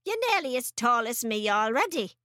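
A woman's voice: fairly high pitch, big pitch swings, normal speed, sharp tone, no echo, studio quality. A woman voicing a tiny, bossy old grandmother who snaps scornfully, in a storybook reading. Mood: snappish. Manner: snapping, scornful, emphatic.

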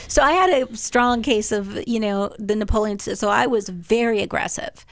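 Woman attorney guest, high voice, candid and self-mocking, dry studio sound. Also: moderate pace, no room sound. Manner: frank, laughs at herself, repeats words. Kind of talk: casual radio interview.

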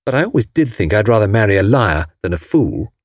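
A man voicing a bright, cheeky young British wife in a breezy, teasing manner for an audiobook. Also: on the low side, very animated, a little fast, no room sound, studio quality.

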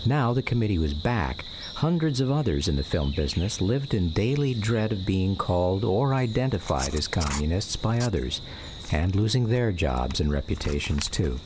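Grown son narrator, low pitch, varied intonation, measured reflective memoir, radio drama. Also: male voice, sing-song. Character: grown son recalling his childhood on the run. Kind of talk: scripted first-person radio drama narration. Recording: noisy outdoor ambience with insects behind.

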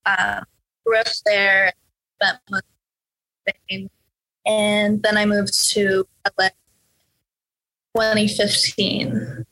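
Young woman, from Norway, slow unhurried talk, bright forceful tone, halting with fillers, interview guest. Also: mid-range voice, flat delivery, low-quality recording. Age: young adult. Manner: hesitant, many fillers and restarts. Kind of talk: casual interview answers.

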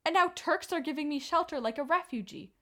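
Actress as a defiant young refugee, higher voice. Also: female voice, expressive, a little fast, fairly bright tone. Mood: grieving. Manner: intense, bitter, declamatory. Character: Sanam, defiant, grieving young Armenian refugee woman. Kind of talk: radio play acting.

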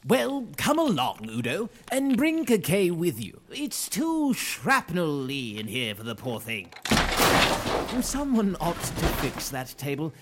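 An actor playing an eccentric, reckless wizard mentor who laughs often and speaks grandly. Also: male voice, on the high side, huge pitch swings, slow, background noise, heavily acted. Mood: gleeful. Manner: theatrical, giggly, grandiose. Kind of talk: fantasy audio drama acting.

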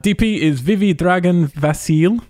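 A man's voice: high-pitched, a little slow, darkish tone, no echo, clean studio sound. A man hosting a casual film podcast, chattily reading out information and adding informed asides. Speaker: podcast host.

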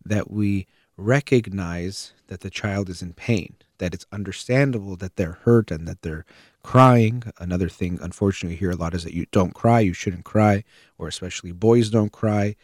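Low-voiced man, calm and measured. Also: no room sound, studio quality. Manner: measured, thoughtful, with fillers and pauses. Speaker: host. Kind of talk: reflective solo talk on parenting.

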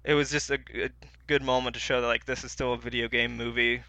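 Creaky, bright man on a casual podcast. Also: mid-range voice, level tone, fast, dry recording. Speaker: podcast co-host. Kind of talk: casual chat.

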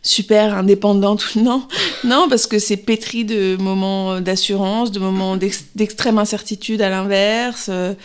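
A woman's voice: mid-range voice, steady tone, a little slow, clear, resonant tone. A woman in her thirties, an actress answering interview questions, pregnant, speaking hesitantly with many fillers and restarts.

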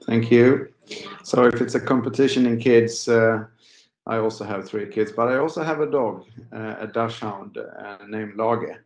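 A man's voice: mid-range voice, normal speed. Playful man in an echoey room. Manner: playful, joking. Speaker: podcast guest. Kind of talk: casual remote conversation. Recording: roomy, distant mic.

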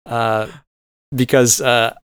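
A man's voice: mid-range voice, flat delivery, slow pace, fairly bright tone, smooth, dry recording. Rambling, sweary man on a podcast. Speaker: podcast host. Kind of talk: casual film discussion.

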